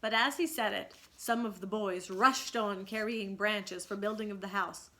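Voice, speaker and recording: Bright-voiced woman reading aloud to children. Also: medium pitch, fairly even intonation, normal speed. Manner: explanatory, questioning. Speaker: read-aloud teacher. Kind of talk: expressive children's storybook narration with comprehension questions.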